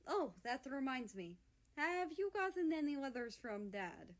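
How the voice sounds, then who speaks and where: A woman's voice: high voice, big pitch swings, unhurried, voice pushed up. A woman voicing an affectionate, teasing man who is a protective older brother in a read-aloud story.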